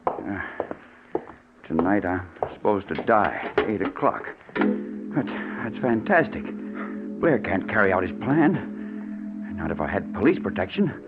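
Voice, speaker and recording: Jittery, terrified man, low voice. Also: big pitch swings, unhurried, long pauses. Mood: paranoid dread. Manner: stammering, pleading, jittery. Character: terrified, paranoid wealthy man hounded by a killer. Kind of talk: old-time radio thriller acting. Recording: tinny narrow-band vintage radio, music behind.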